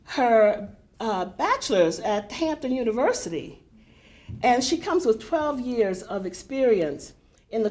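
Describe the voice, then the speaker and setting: An older woman directing a poetry center, giving chatty welcoming remarks with a big voice and many fillers to a live audience in a university hall. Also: a little high. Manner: warm, chatty, unhurried with frequent fillers.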